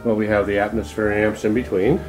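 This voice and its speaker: Low-voiced man hosting a show-floor interview. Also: flat delivery, fairly quick, soft background music. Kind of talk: casual on-camera trade show interview. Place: hotel room at an audio show.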